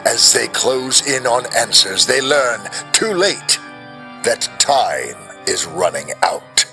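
A man's voice: medium pitch, big pitch swings, slow pace, pushed and bright, heavily acted. A man playing an old-time radio announcer introducing a sponsored mystery show. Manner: smooth announcer delivery. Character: 1940s male radio announcer. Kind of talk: 1940s radio acting. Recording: radio show with clear music.

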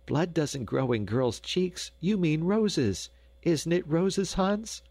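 Storybook little girl, done by a man: very high pitch, cheerful, teasing, playful peasant child. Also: lively intonation, takes it slowly, vocal fry, a little mellow, no echo, exaggerated voice.

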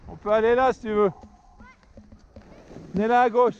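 A man's voice: sits high, steady tone, moderate pace, smooth. A man skiing and filming as he coaches his children, calling out short, repeated, encouraging commands. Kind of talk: shouted ski coaching while filming. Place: outdoor snowy mountain ski slope and forest. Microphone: action camera worn while skiing. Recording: dull lo-fi action-camera sound with faint wind.

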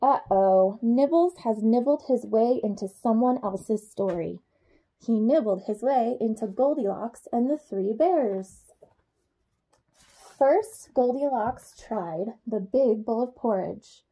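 Young female storyteller for children's read-aloud. High, mellow voice, expressive delivery aimed at small kids. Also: slow pace, slightly rough, poor audio. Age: young adult. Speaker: childcare supervisor reading a bedtime story.

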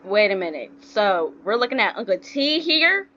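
A woman's voice: medium pitch, big pitch swings, moderate pace, a bit bright. A young woman giving casual gaming reaction commentary in a slangy, blunt, incredulous way, on a dull-sounding mic indoors. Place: indoors, dim room, on webcam. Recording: dull lo-fi mic, faint noise.

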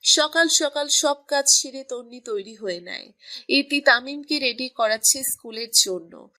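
A woman's voice: high-pitched, animated, a little slow, pushed and bright. A woman reading scripted narration for a romantic story as its storyteller.

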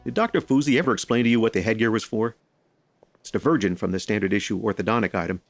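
An actor playing a formal, clinical hospital doctor in an audio drama, with precise wording. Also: male voice, lowish voice, fast, no room sound, faint music behind. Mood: clinical, grave.

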